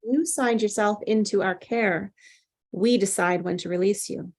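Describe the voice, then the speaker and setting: Woman playing a measured, probing psychiatrist. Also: mid-range voice. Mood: calm, authoritative. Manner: measured, deliberate, clinical. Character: composed, probing female psychiatrist, coolly authoritative. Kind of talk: screenplay table read.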